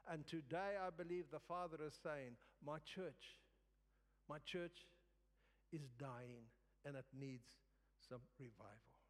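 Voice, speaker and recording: A man giving a sermon as a guest preacher, in a halting way with phrases repeated for emphasis. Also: on the high side. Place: church service in a large room. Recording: reverberant hall.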